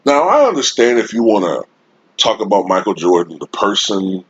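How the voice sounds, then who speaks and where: A man with a deep voice giving an emphatic, informal opinion rant to his viewers as a sports YouTuber, recorded on a desktop USB microphone. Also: big pitch swings, husky, dry recording, dull audio. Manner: emphatic, informal, drawn-out delivery with frequent rhetorical tags. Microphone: Blue Snowball-type desktop USB microphone.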